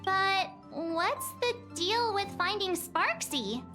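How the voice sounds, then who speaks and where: A woman voicing a small, cute, eager childlike companion in a video game, animated and cutesy. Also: very high-pitched, slow, over music, full character voice. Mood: eager, curious. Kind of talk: scripted video game voice acting.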